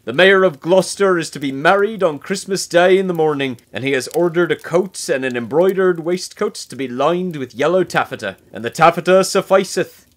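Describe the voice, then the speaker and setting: A woman voicing a poor, tired little old man who is a tailor, speaking in a low, fretful, pleading way. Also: fairly quick, hardly any pauses, lightly acted. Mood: hopeful.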